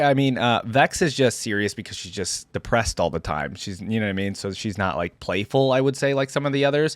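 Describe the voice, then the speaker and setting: A man's voice: medium pitch, no room sound, studio quality. A man hosting a reaction video, chatting casually and reading game text aloud as he comments.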